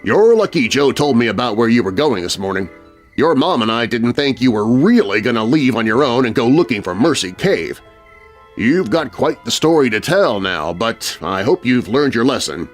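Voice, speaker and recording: A relieved, fatherly figure, played by a male narrator in a children's storybook, gently admonishing his boy. His mid-pitched voice moves expressively, with faint music underneath. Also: big pitch swings, moderate pace, close to an everyday voice. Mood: gently scolding.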